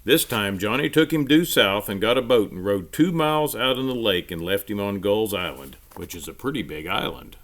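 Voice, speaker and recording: A man reading a children's storybook aloud in an expressive, emphatic storytelling manner. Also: fairly low pitch, normal speed, few pauses.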